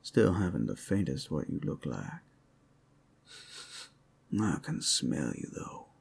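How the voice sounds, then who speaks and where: Man voicing a deep, chatty, gravelly werewolf. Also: very animated, slow pace, darkish tone, heavily acted. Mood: teasing, coaxing. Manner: folksy, chatty, coaxing. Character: casual, teasing male werewolf urban explorer. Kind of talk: ASMR roleplay.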